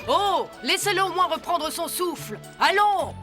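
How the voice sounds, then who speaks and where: A woman's voice: very high voice, lively intonation, a little slow. A woman voicing a young male lion cub in a cartoon, earnest, fair-minded and reasoning. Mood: protesting. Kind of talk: French cartoon dubbing. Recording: music behind the voice.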